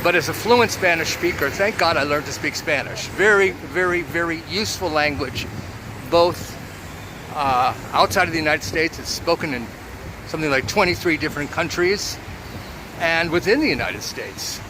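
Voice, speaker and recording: A man in his seventies talking about his life in a relaxed, reflective monologue, with many pauses. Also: a little high, background noise. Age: 70s. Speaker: vlogger. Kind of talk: casual personal monologue.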